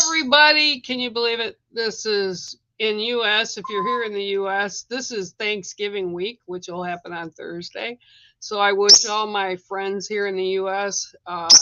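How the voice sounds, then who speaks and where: A live-stream host with a rough, hoarse voice, chatting casually with viewers and greeting them by name between coughs. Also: mid-range voice, pushed and bright, highs cut off.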